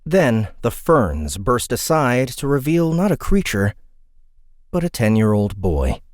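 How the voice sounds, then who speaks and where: A man narrating a fantasy audiobook in an expressive, dramatic storytelling style. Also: mid-range voice, unhurried, no echo, studio quality.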